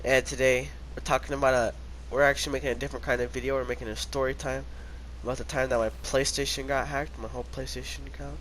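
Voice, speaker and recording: A man's voice: medium pitch, little pitch movement, fast, a bit bright, a little hiss or hum, rough recording. A teenage boy with a stuffy, congested voice from a cold, casually telling a rambling storytime and quoting himself with lots of "I was like.". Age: teens. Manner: rambling, many "I was like" quotes. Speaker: vlogger.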